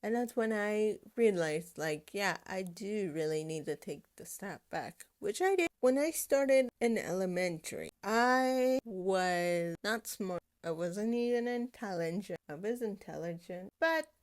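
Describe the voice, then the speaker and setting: A woman's voice: medium pitch, animated, unhurried, few pauses. A young woman whose first language is Spanish, hosting a podcast and telling her own story in a casual, rambling way, often restarting her sentences. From United States. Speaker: podcast host.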